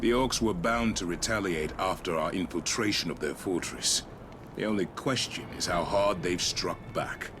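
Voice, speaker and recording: A man playing a deep-voiced, resolute warrior. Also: moderate pace, noisy background, lightly acted. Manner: terse, resolute. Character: determined male warrior hunting his brother.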